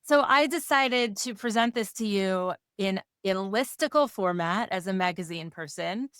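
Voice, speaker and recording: A woman co-hosting a podcast in a casual, playful way, slightly hoarse and given to jokes and lists. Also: mid-range voice, animated, normal speed, fairly bright tone, dry recording, studio quality. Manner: playful, jokey, talks in lists. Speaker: podcast co-host. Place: Rochester area.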